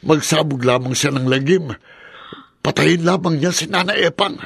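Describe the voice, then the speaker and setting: An actor playing a fearful, pressuring father in a Filipino radio horror drama, with a husky voice and urgent, dramatic delivery. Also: fairly high pitch, moderate pace, fairly bright tone, no room sound, full character voice.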